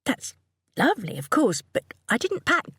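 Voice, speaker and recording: A woman voicing a gentle, appeasing mother in an audiobook, crooning and determinedly cheerful. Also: sits high, huge pitch swings, moderate pace, slightly rough, dry recording, pitched above the performer's own voice, exaggerated voice. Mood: bright, polite.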